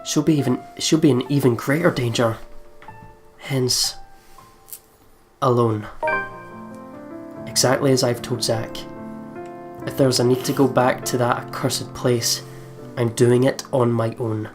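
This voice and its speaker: A man's voice: mid-range voice, fairly even intonation, unhurried, pauses often. Man reading a game story over faint music. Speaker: let's play host reading the story aloud. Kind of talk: expressive story reading with casual game commentary.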